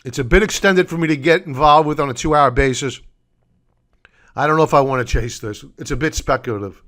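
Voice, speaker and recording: A hoarse, middle-aged man, losing his voice, hosting a solo stock-market recap over charts and walking viewers through his reasoning. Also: on the high side, a bit bright. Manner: deliberate, explanatory, addresses viewers as folks. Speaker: market commentary host.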